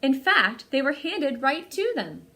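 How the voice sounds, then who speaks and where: A woman with a fairly high voice hosts a storytime for young children. Her delivery is highly expressive and melodic, rising and falling widely as she talks to her small listeners and reads to them. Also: unhurried. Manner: animated, addresses young children directly. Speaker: library storytime host.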